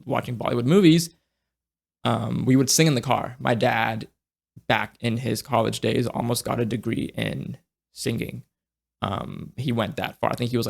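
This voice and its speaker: A young man chatting as a podcast guest, reflective and halting, with many restarts and fillers as he searches for words. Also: medium pitch, vocal fry. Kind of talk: casual conversation.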